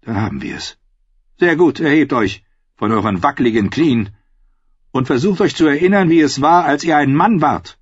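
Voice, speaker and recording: A cold, scornful queen in an audiobook, firing off sharp, contemptuous questions, as a male reader puts her on. The voice sits a touch high and husky, in a dry, slightly dull recording. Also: animated, moderate pace, no room sound.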